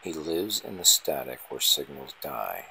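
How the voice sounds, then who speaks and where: A man reading a scripted first-person horror story in short, ominous, dramatic phrases, with a gravelly, husky voice. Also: a bass voice, big pitch swings, a little slow, bright, forceful tone, slight background noise, low-quality recording.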